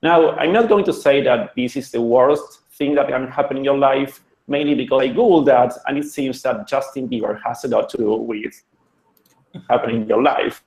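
A man's voice: medium pitch, normal speed, darkish tone, reverberant, poor audio. A man with an Argentinian accent, a web designer giving a friendly, joking presentation over a video call. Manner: friendly, joking, conversational with restarts. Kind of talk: online conference presentation. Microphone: computer mic on a video call.

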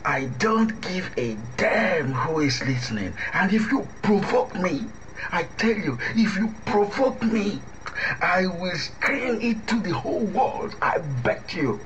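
A man's voice: sits high, big pitch swings, takes it slowly, lo-fi sound, crowd noise, exaggerated voice. A man playing a tired, resentful lover who is fed up with lies, talking in weary, half-whispered complaints. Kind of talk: radio drama acting. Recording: clear background hiss.

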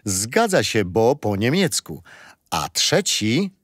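Kindly grandpa, lively intonation. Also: male voice, lowish voice, slow, breathy edge, no echo, studio quality, full character voice. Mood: patient, fond. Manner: gentle, didactic. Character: kindly patient grandfather telling children a bedtime history story. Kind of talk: children's radio play storytelling.

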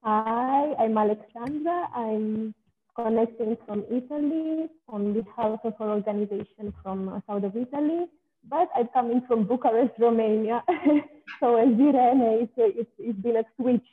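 A woman's voice: fairly high pitch, normal speed. A woman introducing herself hesitantly on a video call. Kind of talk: online meeting introduction. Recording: breathy tinny phone-like line.

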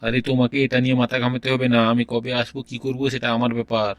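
A man's voice: medium pitch, completely flat delivery, rapid-fire, dry recording, close to an everyday voice. A man voicing a strict, impatient education minister who quizzes pupils in a comedy cartoon. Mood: stern, annoyed. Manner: bossy, interrogating.

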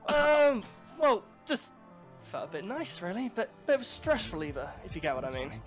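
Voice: mid-range voice, very animated, moderate pace, lightly acted. An amateur performer improvising a smug, easily exasperated young villain in a Minecraft roleplay, casual and often trailing off. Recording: music behind the voice.

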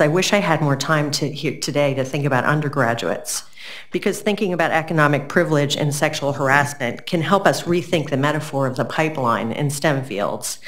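A middle-aged woman reading a prepared lecture aloud at a university symposium, earnest and measured, a little froggy from a cold. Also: sits low. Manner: measured, earnest, with occasional "um" fillers. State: getting over a cold, slightly froggy. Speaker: keynote lecturer.

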